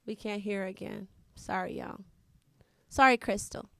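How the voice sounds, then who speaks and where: A woman's voice: medium pitch, fairly even intonation, moderate pace, creaky, pushed and bright, no room sound. An American woman hosting a casual live interview, chatting in an informal, colloquial way. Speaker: talk show host.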